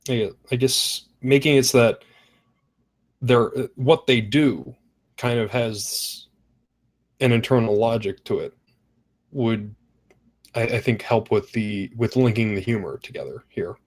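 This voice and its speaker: A man's voice: mid-range voice, measured pace, pauses often. A man giving casual script feedback in an online group call, point by point, often searching for his words. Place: online voice chat.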